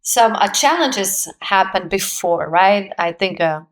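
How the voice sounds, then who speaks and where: A woman in her forties from Brazil, speaking English with a Brazilian Portuguese accent as a guest in an interview, telling her story with lively, laughing energy. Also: mid-range voice, slow. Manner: animated storyteller, laughs often, acts out quoted voices. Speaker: guest, actress. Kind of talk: casual interview conversation.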